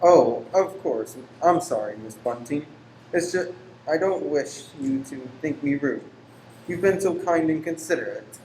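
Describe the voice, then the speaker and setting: Male actor as formal, secretive gentleman lodger, pious and weary, touchy and exacting; echoing room, faint noise. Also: on the high side, expressive, measured pace. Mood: apologetic, weary. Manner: formal, exacting, abrupt then apologetic. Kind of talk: radio play acting. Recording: roomy echo with faint background noise.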